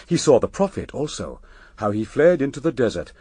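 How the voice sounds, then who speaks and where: A man narrating epic verse drama in a stately, measured, expressive manner. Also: mid-range voice, slightly rough, dry recording, studio quality.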